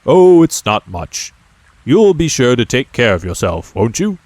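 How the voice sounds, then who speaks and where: A man's voice: mid-range voice, sing-song, unhurried, a little mellow, no room sound. A man voicing a kindly, caring middle-aged miner father in a storybook reading. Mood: reassuring.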